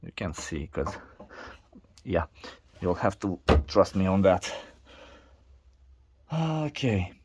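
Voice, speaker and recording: A man whose first language is not English, narrating a casual workshop vlog in a halting way with many restarts and fillers. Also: sits low, sing-song, darkish tone, breathy edge. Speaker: DIY video maker.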